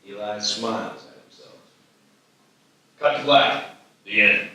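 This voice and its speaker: A man with a bright, forceful, mid-pitched voice narrates a screenplay from the script. The room is very echoey and he is a little away from the mic. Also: takes it slowly, recorded from a distance, slight background noise. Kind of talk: scripted stage-direction reading at live table read.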